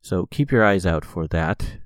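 A young man with a very deep, low voice, talking through a stuffy head cold. He rambles casually on his solo podcast and leans on frequent "uh" fillers. The recording is close and dry, with no room sound. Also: expressive, measured pace, clear, resonant tone, dry recording. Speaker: podcast host.

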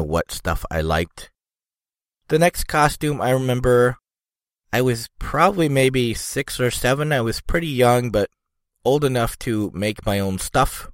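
A congested, stuffed-up man rambles through a casual podcast monologue in a low voice. His talk drifts, full of "you know" and "like." The sound is dry, with no room echo. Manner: meandering, lots of "you know" and "like". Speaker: podcast host.

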